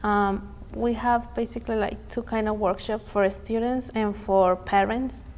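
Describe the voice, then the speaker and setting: A woman from Mexico, a youth immigrant activist, answering interview questions in a careful, halting way. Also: mid-range voice, steady tone, darkish tone.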